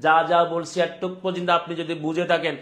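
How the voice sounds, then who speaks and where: A man's voice: on the high side, flat delivery, fast talker, a bit bright. A man with a Bangladeshi accent teaching a live online class, repeating his points and often checking that students follow.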